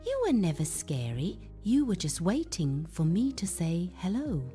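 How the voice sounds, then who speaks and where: A woman voicing a timid, gentle little boy squirrel in a bedtime storybook, speaking softly and tenderly. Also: on the low side, wildly swooping pitch, unhurried, soft background music. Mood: calm, tender. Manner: soft, whispery, tender. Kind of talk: children's bedtime storybook character voice.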